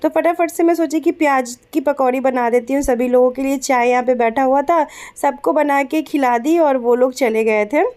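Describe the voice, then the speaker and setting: A young woman from Bihar, raised in Kolkata, narrating her casual family vlog softly and gently because of a sore throat, chatty and unhurried with many fillers. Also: high voice, fairly even intonation. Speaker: vlogger.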